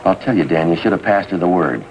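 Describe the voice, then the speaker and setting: Man to play world-weary American cop, bass-low and dry. Terse deadpan lines, vintage TV crime drama. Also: quick pace, lightly acted. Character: dry, world-weary male police detective. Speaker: police detective. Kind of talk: 1950s police drama acting. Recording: noisy vintage TV soundtrack.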